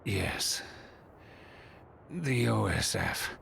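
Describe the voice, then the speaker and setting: A man playing a mortally wounded middle-aged chairman in a video game, speaking weakly and haltingly, guarded, with a gravelly voice. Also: on the low side, very animated, fairly bright tone, a little hiss or hum, full character voice. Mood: weak, resigned. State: dying, weak.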